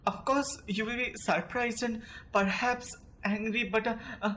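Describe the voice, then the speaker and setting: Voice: medium pitch, fairly even intonation, a little slow, fairly bright tone, a little hiss or hum, natural voice. A young student actor with an Indian accent playing a nervous, fussy landowner suitor in an amateur audio drama, stammering and formal. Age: young adult.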